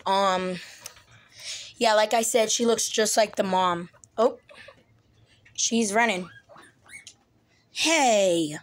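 Raspy young woman, chatty and a bit sick. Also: mid-range voice, extremely slow, pauses often. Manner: chatty, lots of ums and likes. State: a little sick, clearing throat. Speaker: pet channel host. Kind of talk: casual phone vlog. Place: small room indoors. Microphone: handheld phone, mostly behind the camera.

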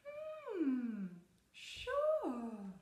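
A woman voicing a sweet, eager, innocent-seeming female duck in a storybook, gushing in drawn-out words. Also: very high voice, wildly swooping pitch, roomy sound, background noise, voice pushed up, heavily acted. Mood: sweetly agreeable.

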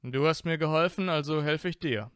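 A man's voice: a little high, moderate pace, fairly bright tone, smooth, dry recording, lightly acted. Self-assured teenage wizard played by a man, teasing and probing, close dry sound, fan audio drama. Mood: matter-of-fact.

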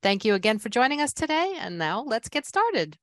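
A woman's voice: on the high side, sing-song, a little fast, dry recording, studio quality. A woman hosting an online event, reading a polished scripted welcome and introducing the keynote speaker. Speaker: event host, moderator.

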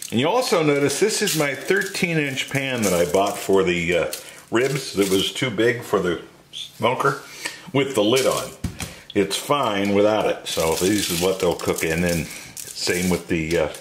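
A man's voice: lowish voice, animated, unhurried, noisy background. A man in his sixties hosting a casual home cooking video in a folksy manner, with jokey asides. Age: 60s. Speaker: host of a home cooking channel. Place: home kitchen and backyard patio.

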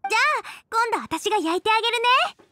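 A woman's voice: very high-pitched, a little fast, dry recording. An actress playing a friendly, curious young girl cook in an anime-style game, with an earnest manner. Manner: earnest, bright-eyed, curious. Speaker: game character voice actor.

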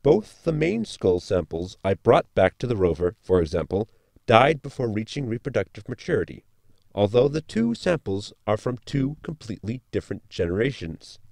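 A man's voice: on the low side, very animated, normal speed, vocal fry, a little mellow, dry recording, clean studio sound. A man playing a polite, pedantic science robot in a sci-fi comedy audio drama, speaking formally and precisely. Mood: precise, explanatory.

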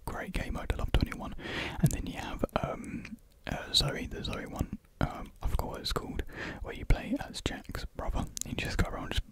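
A young man speaks in a breathy whisper close to the microphone, rambling softly and hesitantly in a casual ASMR chat. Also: normal speed, few pauses. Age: young adult. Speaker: ASMR video creator. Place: small room with painted block walls. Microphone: large desktop USB condenser microphone, close up.

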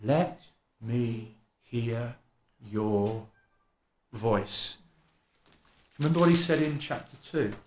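Man, middle-aged, East Midlands accent with a bit of Welsh, preaching, chatty and self-mocking, lively pitch, echoey church hall. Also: slow pace, long pauses, a little hiss or hum. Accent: East Midlands English with some Welsh. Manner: animated, conversational, self-mocking, acts out people talking. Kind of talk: sermon. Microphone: stand mic at a lectern, filmed by a camera across the room.

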